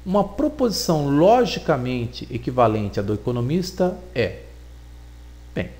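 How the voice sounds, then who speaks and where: A man giving a recorded logic lesson, explaining deliberately and repeating points for emphasis. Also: medium pitch, big pitch swings, a little mellow. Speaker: teacher.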